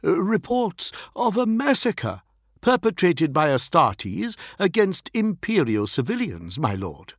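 A man voicing a foppish, deferential imperial envoy in an audiobook, careful and uneasy, with smooth formal phrasing. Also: high-pitched, sing-song, takes it slowly, dry recording, clean studio sound, pitched above the performer's own voice, heavily acted. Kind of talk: dramatic audiobook character voice.

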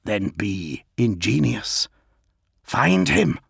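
A man voicing a brooding, proud warlord in an audiobook, speaking in low, terse, growling commands. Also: expressive, takes it slowly, vocal fry, fairly bright tone, breathy edge, dry recording, studio quality, exaggerated voice. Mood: seething. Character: brooding male warlord primarch, proud, secretive, quietly wrathful. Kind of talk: dramatic audiobook character.

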